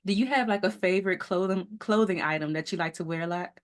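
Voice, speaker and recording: A woman's voice: fairly low pitch, fast talker. A woman hosting a beauty podcast, upbeat and enthusiastic, chatting casually with her guest and laughing often. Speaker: podcast host. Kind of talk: casual interview chat.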